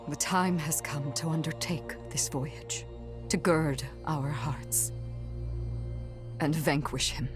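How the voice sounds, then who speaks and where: An actress playing a solemn, commanding mother in a video game, speaking in a grave, formal and measured way to guide heroes on a final mission. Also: low-pitched. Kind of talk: video game cutscene acting.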